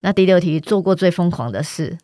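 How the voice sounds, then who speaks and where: A woman's voice: on the low side, lively intonation, brisk, dark tone, dry recording, clean studio sound. A woman hosting a podcast, putting questions in a casual interview style. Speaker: podcast host.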